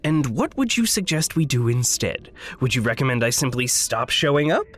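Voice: mid-range voice, big pitch swings, normal speed, echoey room, in a large hall, lightly acted. An actor playing a tense, skeptical character in an audio drama, with dry, formal, anxious phrasing. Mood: sarcastic, exasperated. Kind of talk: audio drama dialogue and inner monologue.

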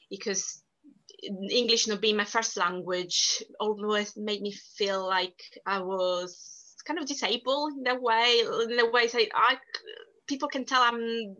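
A woman from Sicily speaks English with a strong Italian accent, her first language being Italian. Her voice is bright and forceful, and she talks earnestly, pushing her points with emphasis and frequent restarts. The sound is dull and lo-fi. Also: medium pitch, steady tone, a little slow. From Sicily, Italy. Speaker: podcast guest. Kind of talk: casual interview talk.

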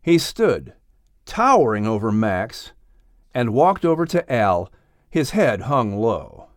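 Male storyteller for children's audiobook: mid-range voice, very animated delivery, dry recording. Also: mid-range voice, takes it slowly, no room sound. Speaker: audiobook narrator. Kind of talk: expressive scripted children's story narration.

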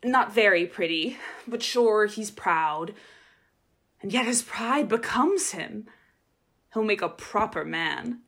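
A woman's voice: medium pitch, expressive, slow pace, a bit bright, heavily acted. A woman playing a proud young shepherdess in a Shakespeare radio play, dramatic, wavering between scorn and admiration. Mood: smitten, dismissive.

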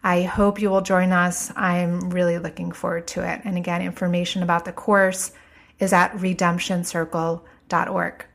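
A woman hosting a podcast, speaking a little stuffed up from a cold, earnest and slow on her emphatic phrases. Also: on the low side, little pitch movement, creaky. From United States. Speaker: podcast host. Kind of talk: podcast intro monologue.

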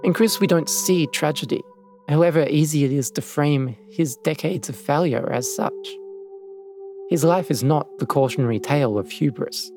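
A man hosting and narrating a scripted documentary in a measured, reflective way. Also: a little high, darkish tone, soft background music. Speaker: podcast host and narrator.

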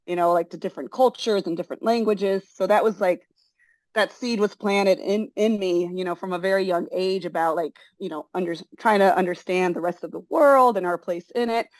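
A woman's voice: on the low side, fairly quick, no room sound. A middle-aged woman who grew up in Brazil and speaks both Portuguese and English, telling her story as an interview guest in a chatty, rambling way with many fillers and restarts. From born in the United States, raised in Brazil. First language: bilingual in Portuguese and English. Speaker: interview guest, forest school founder. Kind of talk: casual interview conversation.

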